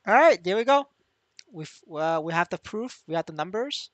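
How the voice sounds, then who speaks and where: A man's voice: high-pitched, expressive, moderate pace, a bit bright, dry recording, muffled recording. A man livestreaming as a gamer in casual chat, stammering, repeating his words and swearing freely. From Vietnamese. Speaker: game streamer.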